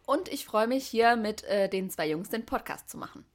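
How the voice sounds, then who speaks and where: Twenties woman co-host, clear scripted read. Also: fairly high pitch, expressive, normal speed. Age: 20s. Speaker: podcast co-host. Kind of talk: scripted podcast narration.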